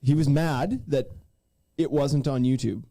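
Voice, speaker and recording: A man's voice: medium pitch, a little slow, dark tone, no room sound, studio quality. A man co-hosting a podcast in casual, jokey, self-deprecating banter. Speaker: podcast co-host.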